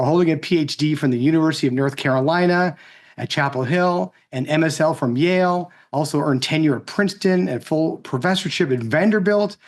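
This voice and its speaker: A man in his sixties co-hosting, giving a conversational political talk with rhetorical questions. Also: a little high. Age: 60s. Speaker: co-host and co-founder speaking. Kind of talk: conversational political speech introducing a guest.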